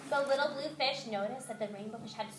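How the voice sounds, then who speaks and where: A woman teacher reading a picture book aloud to young children expressively, pausing to explain simply, ask questions, praise and redirect. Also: a little high. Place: indoor classroom with young children. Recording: echoey classroom, noisy, with clicks.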